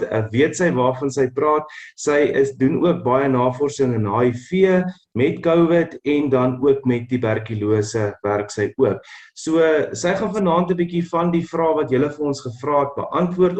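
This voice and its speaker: A male pastor hosting an online church talk, introducing his guest in a warm, rambling way. His voice sits at an ordinary pitch and carries a roomy echo. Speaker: pastor and host.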